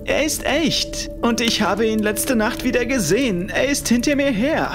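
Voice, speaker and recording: A man's voice: very high voice, normal speed, over music, pitched above the performer's own voice. A man voicing a frightened, insistent teenage schoolboy in a horror story, urgent and shaken. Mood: panicked shouting. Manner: shaky, urgent, shouting in fear.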